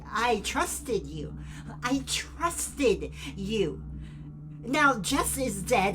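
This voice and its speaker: A woman's voice: on the high side, fairly bright tone, rough recording. A woman acting in an audio drama as a guilt-ridden, frightened young student who is anxious and defensive. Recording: noticeable background noise and ambience.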